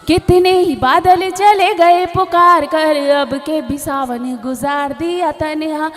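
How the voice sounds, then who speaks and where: A young woman poet at a stage poetry gathering, reciting couplets, repeating each line and slowly singing the refrains, with quick chatty asides between verses. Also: high voice, steady tone, long reverb tail, soft background music. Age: young adult. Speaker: poet at a mushaira.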